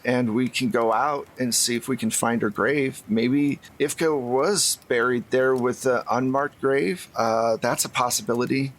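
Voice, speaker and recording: A man narrating as game master of a tabletop roleplaying game, explaining in a measured way with hesitant pauses. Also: mid-range voice, fairly bright tone.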